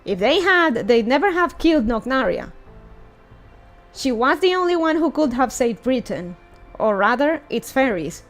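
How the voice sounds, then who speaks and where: A wry fantasy game schemer read by a woman, blunt and conversational. The voice sits high. Faint music runs underneath. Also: sing-song, normal speed. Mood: grim reasoning.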